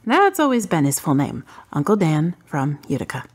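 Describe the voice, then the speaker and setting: A woman acting the part of a guarded, wry daughter in grief in a radio drama, her delivery measured and dry, her voice husky and breathy. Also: sits low, wildly swooping pitch, full character voice. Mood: composed, reserved.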